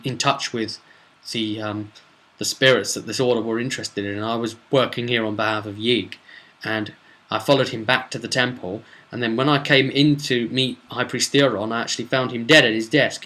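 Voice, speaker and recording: Tabletop game serpent person played by a man: bright, forceful voice, mid pitch, earnest, apologetic, hesitant explainer. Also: close to an everyday voice. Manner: hesitant, repeating himself while explaining. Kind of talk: tabletop role-play retelling.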